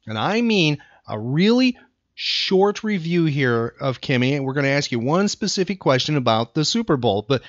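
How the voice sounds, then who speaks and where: Casual American male podcast host, emphatic, lightly high pitch, very dry studio sound. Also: sing-song, normal speed, no room sound, highs cut off. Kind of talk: casual talk-show hosting.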